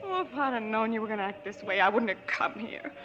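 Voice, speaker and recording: An actress playing a fragile, alcoholic American former nightclub singer in 1940s radio drama, wistful, halting and pleading. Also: female voice, fairly high pitch, lively intonation, close to an everyday voice. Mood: hurt. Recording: muffled 1940s radio recording.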